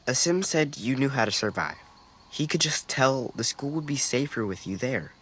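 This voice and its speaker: A man's voice: medium pitch, expressive, normal speed, fairly bright tone, close to an everyday voice. A man playing an earnest, thoughtful young boy survivor in a video game, speaking hesitantly. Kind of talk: naturalistic video game voice acting. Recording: noisy outdoor ambience with crickets.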